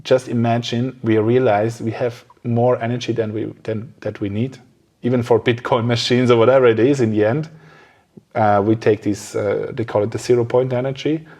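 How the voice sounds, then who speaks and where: Halting man hosting a casual podcast. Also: medium pitch, fairly even intonation, darkish tone. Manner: halting, searching for words. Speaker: podcast host. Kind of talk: casual conversational interview.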